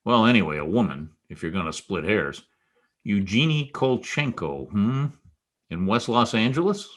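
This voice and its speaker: A hard-boiled private eye played by a man in a vintage radio mystery. The voice sits low, and the lines come out wry and clipped, with a tough wisecracking edge. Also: expressive, normal speed. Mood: flippant, tough. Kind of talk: 1940s radio detective drama acting with narration.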